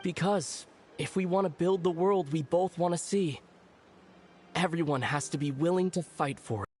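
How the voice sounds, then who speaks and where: A man acts out a determined young male hero with a fairly high voice. He sounds earnest and idealistic, like a game protagonist, over faint background noise. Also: normal speed, darkish tone, close to an everyday voice. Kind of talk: video game voice acting.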